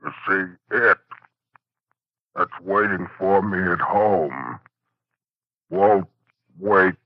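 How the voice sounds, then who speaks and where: Muffled old radio recording, hulking rustic half-human, very low voice, deliberate, insistent, horror drama. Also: male voice, no room sound, exaggerated voice. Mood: slow menace. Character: menacing, half-human rural giant, obsessed with forbidden book. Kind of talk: 1940s radio horror acting.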